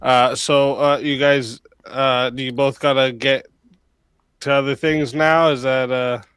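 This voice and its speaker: A man hosting and moderating a debate stream, wrapping up with rambling thanks to the chat, his voice going hoarse as he gets sick. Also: medium pitch, flat delivery, unhurried, a bit bright, no echo. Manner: rambling, many fillers, thanks the chat at length. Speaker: debate show host and moderator. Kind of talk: casual livestream wrap-up.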